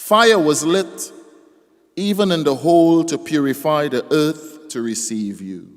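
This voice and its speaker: Slow poet in a reverberant hall. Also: male voice, mid-range voice. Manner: slow, deliberate recitation that rises in force on the poems. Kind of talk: live poetry reading with casual stage banter. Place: live event venue. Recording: long reverb of a live hall.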